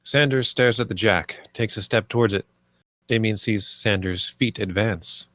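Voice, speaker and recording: A man's voice: on the low side, unhurried, a bit bright, no echo. A man reading stage directions as scripted narration at a screenplay table read.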